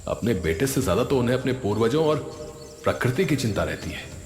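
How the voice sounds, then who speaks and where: A man plays a young, irritable son in a cartoon moral tale. He sounds spoiled and dismissive, with animated intonation. Music and reverb surround the voice. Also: mid-range voice, a little fast, lightly acted. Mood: resentful. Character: irritable spoiled 24-year-old son, resentful. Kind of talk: animated moral story dialogue.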